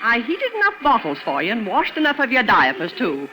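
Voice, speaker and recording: An actress playing a bossy, affectionate older family friend, scolding and teasing in 1940s radio drama style. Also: female voice, a little high, wildly swooping pitch, brisk, over music, rough recording. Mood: mock scolding.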